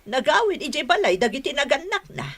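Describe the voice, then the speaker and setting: A woman with an Ilocano accent playing a worried, pleading mother in a radio drama, in a husky voice. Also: high-pitched, very animated, brisk, fairly bright tone, full character voice. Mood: firm, urging. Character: pleading elderly mother, anxious to mend her son's marriage.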